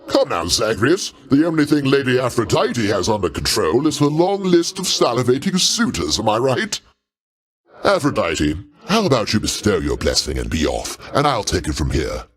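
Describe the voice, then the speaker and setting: Male actor as older ruler of the gods, video game character, jovial, pompous, theatrical, avuncular, genteel archaic phrasing, extremely animated intonation. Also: mid-range voice, normal speed, lightly acted. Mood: teasing, dismissive.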